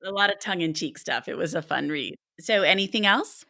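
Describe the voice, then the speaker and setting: A woman with a notably low-pitched voice, speaking conversationally as a podcast host. The sound is dry and close, without room reflections. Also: lively intonation, brisk, creaky, bright, forceful tone, no room sound. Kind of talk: conversational book podcast interviewing.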